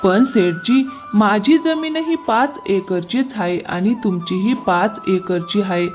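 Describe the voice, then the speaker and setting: A woman voicing an earnest, polite young male farmer in an animated moral story. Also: mid-range voice, takes it slowly, faint music behind.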